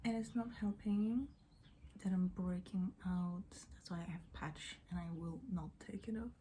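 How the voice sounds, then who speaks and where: A young woman talks slowly and softly, half-whispering as she rambles. She is not a native English speaker. Her voice is mellow, with a faint hiss in the background. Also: lowish voice. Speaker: beauty and book vlogger. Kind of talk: get-ready-with-me chat. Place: at home in front of a mirror.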